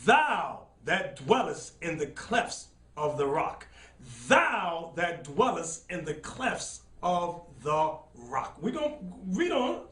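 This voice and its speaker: A man preaching and teaching from scripture in a fiery style, shouting and repeating phrases as he directs a reader. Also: on the high side, very animated, slow, distant mic. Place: studio set with dark backdrop. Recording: roomy sound from off-mic.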